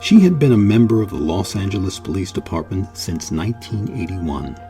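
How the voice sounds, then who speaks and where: A man hosting and narrating a scripted history documentary in a measured, solemn manner. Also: deep voice, sing-song, music in the background. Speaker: host and narrator.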